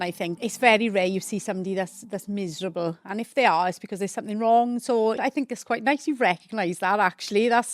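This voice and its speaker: A middle-aged woman with a South Wales Valleys accent talks earnestly and at length as a podcast guest. Her voice sits at a middling pitch for a woman. The sound is close and dry. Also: no room sound. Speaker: guest from a housing membership team. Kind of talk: casual conversational interview.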